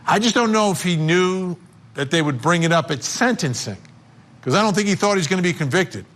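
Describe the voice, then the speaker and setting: A man hosting a live legal news interview, speaking in emphatic, deliberate phrases. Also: sits high, lively intonation, fairly bright tone. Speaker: TV news host.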